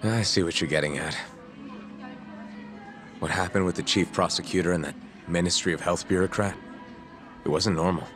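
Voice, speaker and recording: Actor as low-voiced, curt male prosecutor. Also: moderate pace, long pauses, natural voice. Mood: suspicious, defensive. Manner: curt, stern, defensive. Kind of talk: video game cutscene drama. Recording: music under the dialogue.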